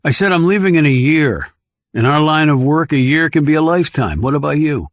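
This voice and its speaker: A man's voice: fairly high pitch, lively intonation, brisk, dry recording. A man voicing a blunt, skeptical government operative with wry, probing delivery in an audiobook. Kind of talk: audiobook character dialogue.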